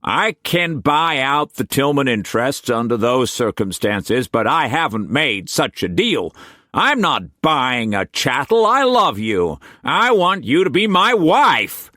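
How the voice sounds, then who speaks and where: A man's voice: medium pitch, big pitch swings, slow, pushed and bright, no echo, studio quality, voice pushed up, heavily acted. A man voicing an earnest, anxious young suitor who speaks sincerely. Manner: earnest, pleading.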